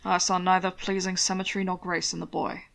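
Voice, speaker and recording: A woman voicing a proud, disdainful, irritable gentleman in a period audiobook, curt and snappish. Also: on the low side, flat delivery, fairly quick, creaky. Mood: haughty. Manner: muttering, snappish, haughty.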